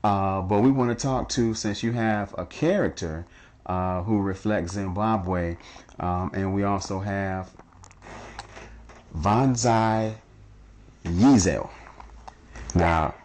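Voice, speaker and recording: Deep voiced guy hosting an interview, laid back, chatty, laughs at his own jokes. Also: slow, long pauses, darkish tone, a little hiss or hum. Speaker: radio show host. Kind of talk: casual interview chat.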